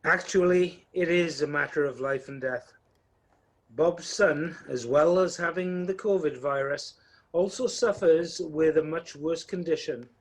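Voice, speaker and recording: A man narrating a scripted radio play in a wry, measured storytelling manner. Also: a little high, breathy edge.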